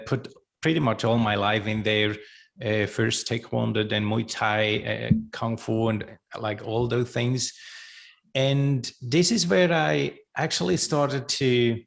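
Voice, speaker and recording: A middle-aged man from Switzerland, a podcast guest, speaking slowly and haltingly with many restarts; his is not English. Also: mid-range voice, gravelly. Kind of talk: podcast interview.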